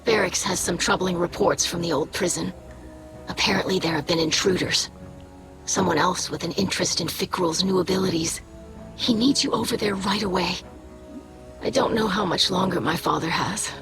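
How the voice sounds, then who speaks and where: A woman's voice: on the low side, moderate pace, loud music behind the voice, close to an everyday voice, rough recording. An actress playing an anxious, earnest young female alien scientist in a video game, speaking formally with pleading intensity. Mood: worried, dejected. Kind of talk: video game character acting.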